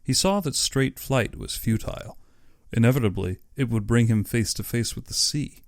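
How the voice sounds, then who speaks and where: A man's voice: mid-range voice, normal speed, vocal fry, no echo, clean studio sound. A man narrating an audiobook story in a dramatic, scripted reading style.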